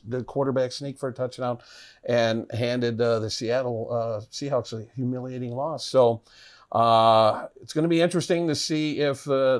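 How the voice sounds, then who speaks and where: Chatty middle-aged man hosting. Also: medium pitch. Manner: chatty, upbeat sports talk. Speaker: cooking show host. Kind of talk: casual chat while cooking. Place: indoor kitchen set.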